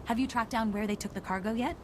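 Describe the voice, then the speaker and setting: A woman playing a businesslike undercover intelligence agent in a video game, brisk and matter-of-fact. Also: fairly high pitch, noisy background, close to an everyday voice. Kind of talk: scripted video game dialogue.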